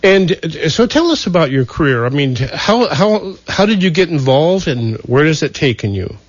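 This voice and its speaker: A man hosting a radio talk show, telling a wry, folksy story with halting restarts. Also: fairly high pitch, very animated, no room sound. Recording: dull lo-fi radio broadcast.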